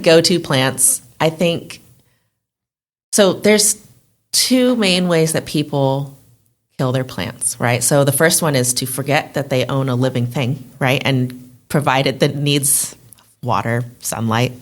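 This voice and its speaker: A woman speaking casually and informally as an interview guest, in a chatty way. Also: low voice. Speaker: guest, plant shop owner.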